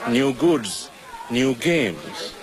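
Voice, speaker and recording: A man's voice: medium pitch, a loud crowd, rough recording. Older Kenyan man narrating a documentary. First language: Swahili. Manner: measured, reflective storytelling. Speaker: documentary presenter. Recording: steady background noise.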